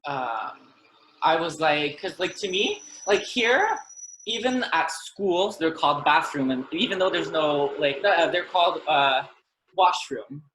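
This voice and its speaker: A man's voice: a little high, animated, a little slow, fairly bright tone, a little hiss or hum. Hesitant man on a video call. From Ontario, Canada. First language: French. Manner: hesitant, laughs easily. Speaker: guest. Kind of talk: casual video-call interview. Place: home room. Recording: echoey video-call audio.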